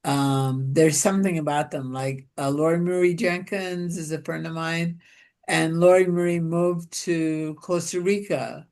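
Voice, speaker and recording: A woman's voice: sits low, fairly even intonation, darkish tone. A woman from Pakistan hosting a casual interview over a video call, talking in a musing way with many restarts. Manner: slow and musing, with many restarts and "you know". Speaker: interviewer and show host.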